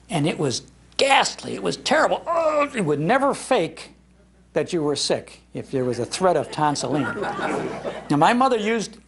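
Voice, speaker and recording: Older American male speaker, creaky-voiced, for folksy nostalgic storytelling delivered live with emphasis. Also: on the high side, slight background noise. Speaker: speaker giving a talk on old-time radio. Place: public library talk before an audience.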